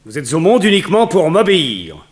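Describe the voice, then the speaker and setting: An actor playing a cold, domineering villain who commands and interrogates in a 1960s radio drama. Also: male voice, fairly high pitch, huge pitch swings, a little fast. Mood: imperious, menacing. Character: cold domineering male villain, a hypnotist master giving orders.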